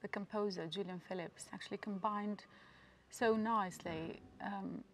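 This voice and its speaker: A woman from the opera's cast speaking admiringly and deliberately in a promotional interview, with orchestral music clearly behind her. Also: mid-range voice, steady tone, a bit bright, a little hiss or hum.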